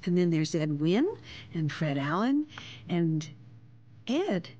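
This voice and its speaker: An actress playing a gentle, affectionate wife in a vintage radio drama, speaking softly. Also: female voice, fairly low pitch, very animated, highs cut off, heavily acted. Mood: tender. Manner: soft, slow, affectionate. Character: gentle wife, dressed up and tender.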